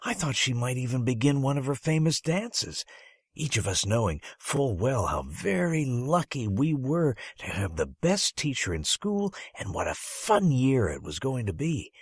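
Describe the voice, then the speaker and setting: A man's voice: medium pitch, big pitch swings, unhurried, breathy edge, no room sound, clean studio sound. A man reading a first-person story as an audiobook narrator, with dramatic phrasing and strong emphasis.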